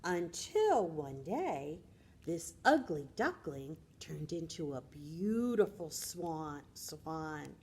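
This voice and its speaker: An American woman talks to young children like a librarian at storytime. Her voice is slightly low and husky, with lots of melodic movement. She is expressive and teacherly, keeping her phrasing simple. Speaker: children's librarian presenting books.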